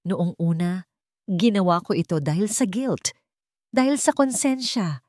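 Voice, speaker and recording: A woman voicing an earnest, tender young man in love, speaking gently in a storybook romance. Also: lowish voice, a little slow, dry recording, clean studio sound, close to an everyday voice.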